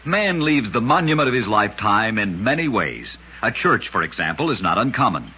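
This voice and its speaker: A man's voice: fairly low pitch, big pitch swings, moderate pace, bright, forceful tone, dull audio. A man narrating short scripted stories as a radio host, with a dramatic, emphatic delivery, on a vintage radio recording.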